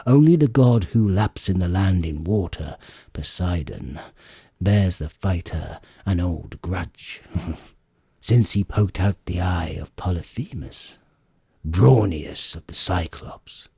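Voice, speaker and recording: A man voicing the grand, exasperated father of the gods in an audiobook, ponderous and lordly with a deep husky voice. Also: takes it slowly, mellow, no room sound, clean studio sound, exaggerated voice. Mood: musing, conciliatory.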